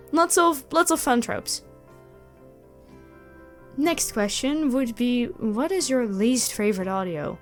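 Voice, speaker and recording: A woman talking casually and animatedly to her listeners, in a self-effacing way, like a creator answering questions. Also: sits high. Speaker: audio roleplay creator, channel host. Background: background music.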